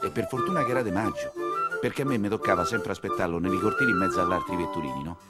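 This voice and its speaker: Vetturino romano espressivo, voce mobilissima. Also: male voice, sits low, fast. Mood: wry storytelling. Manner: colloquial, expressive, exclamatory. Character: Ninetto, wary, streetwise 19th-century Roman coachman telling his tale. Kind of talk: theatrical monologue storytelling in dialect. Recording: music behind the voice. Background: music.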